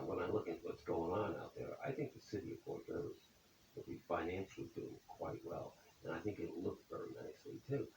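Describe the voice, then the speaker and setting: A man in his seventies answering interview questions about his business in a folksy way, often ending points with "okay?". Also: lowish voice. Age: 70s. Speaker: accountant, business owner. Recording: roomy echo, distant mic, faint hiss.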